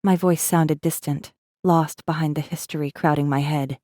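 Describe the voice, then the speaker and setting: A woman's voice: sits low, fairly even intonation, normal speed, dark tone, no room sound, studio quality, close to an everyday voice. An actress playing a guarded, wry rebel messenger, narrating her own story in an intimate, wary, sardonic way for a space fantasy audiobook. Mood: guarded, brooding. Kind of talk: first-person dramatic audiobook narration.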